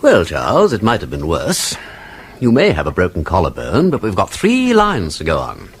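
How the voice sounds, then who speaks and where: An actor playing a witty, talkative aristocratic sleuth in a radio drama, with an upper-class English accent and a playfully pedantic, theatrical manner. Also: male voice, low voice, wildly swooping pitch, normal speed, slightly rough, heavily acted. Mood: excited speculation. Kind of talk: British radio drama acting.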